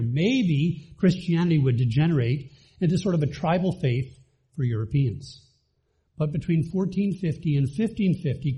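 A man's voice: mid-range voice, warm tone, husky, muffled recording. A man from the Bahamas giving a conversational church teaching talk to a congregation, asking the audience questions as he goes.

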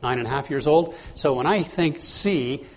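A man's voice: on the high side, moderate pace. A man preaching an expository sermon, explaining scripture verse by verse in a plain teaching tone with frequent "uh"s and restarts. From Bahamas.

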